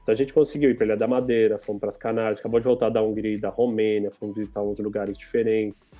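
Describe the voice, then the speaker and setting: A man, hosting a casual interview in a relaxed, rambling way, full of fillers. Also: on the low side, quick pace, soft background music. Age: 30s. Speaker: interviewer, father.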